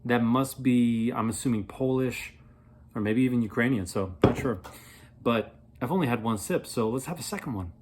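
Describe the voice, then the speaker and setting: A man in his thirties reviewing a product in a casual, playful way, rambling as he thinks aloud, with a slightly husky voice. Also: medium pitch, moderate pace, darkish tone, slight background noise. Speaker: beer reviewer, vlog host.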